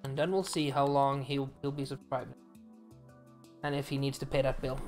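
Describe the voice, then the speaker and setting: Male streamer, light soft voice, laid-back chatter over a call, faint background music. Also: mid-range voice, flat delivery, moderate pace. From Norway. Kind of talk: casual chat on stream.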